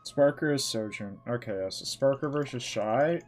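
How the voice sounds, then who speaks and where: A man's voice: mid-range voice, normal speed, a little mellow, faint music behind. A man live-streaming games, chatting casually and reacting in offhand fragments, muttering to himself. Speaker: game streamer. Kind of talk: casual livestream commentary and chat.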